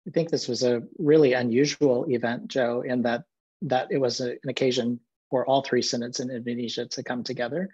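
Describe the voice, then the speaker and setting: A man's voice: mid-range voice, fairly even intonation, fairly quick, darkish tone, breathy edge. A middle-aged man, a seminary president, telling stories in an earnest, reflective way during a video-call interview from his office. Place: office with bookshelves. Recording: muffled video-call audio.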